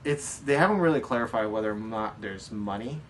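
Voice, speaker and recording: A man with an American accent and a fairly low voice talks casually as a podcast co-host. He explains things in a loose, rambling way. He sounds away from the microphone, with some faint noise. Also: lively intonation, fairly quick. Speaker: podcast co-host answering listener questions. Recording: distant mic, background noise.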